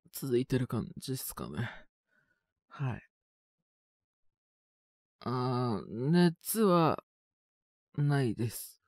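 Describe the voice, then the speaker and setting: A man playing a young student with a cold in a voice drama, husky and prone to coughing, speaking in a casual, hesitant, youthful way. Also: medium pitch, a bit bright, no echo, studio quality, exaggerated voice. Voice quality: husky, with frequent coughing fits. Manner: casual youthful speech, trailing hesitant phrases. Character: young male student with a cold, polite, mildly exasperated. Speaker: voice actor. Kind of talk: one-sided situation voice drama.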